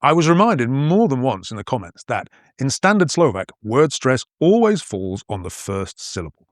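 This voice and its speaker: A man from northern England whose accent is mostly posh with a few northern vowels left, telling an educational podcast in a playful, explanatory manner. His pitch swings freely as he talks, and the recording is close and dry with no room sound. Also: moderate pace, no room sound. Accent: English, mostly posh with northern traces. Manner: playful, explanatory, lively storytelling. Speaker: podcast host and language teacher. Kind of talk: scripted educational podcast narration.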